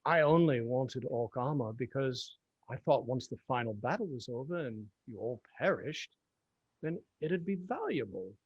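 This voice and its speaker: A man's voice: medium pitch, animated, moderate pace, husky, dry recording, lo-fi sound. A man playing a smug, mercenary pirate captain with a sly, offhand manner at a table read. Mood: amused.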